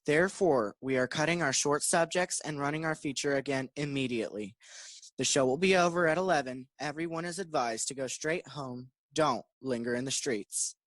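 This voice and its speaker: Man playing a higher-voiced formal theater manager. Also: dry recording, close to an everyday voice. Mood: official, cautioning. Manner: formal, measured announcing. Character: formal theater manager making a cautionary announcement. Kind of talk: 1940s radio play acting.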